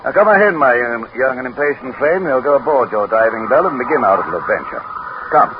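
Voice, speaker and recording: An actor playing a pompous, amused, condescending head of state in a 1940s radio drama. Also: male voice, mid-range voice, expressive, fast talker, phone-quality sound, lightly acted. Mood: pompous, expansive. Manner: pompous, condescending, savoring his words. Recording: noisy, band-limited 1940s radio recording.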